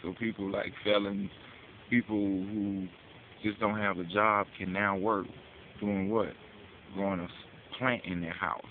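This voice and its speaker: A man's voice: fairly low pitch, little pitch movement, a little slow. An American man giving an informal advocacy monologue as a public address, talking in street slang with casual swearing and rhetorical questions. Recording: tinny phone-like audio with hiss.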